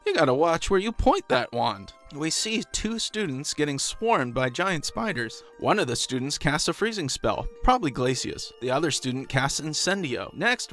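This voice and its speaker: A man's voice: a little high, fairly bright tone. A man hosting a scripted video breakdown of a trailer, narrating in a playful way with jokey asides. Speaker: video host. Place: voice-over over background music. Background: music.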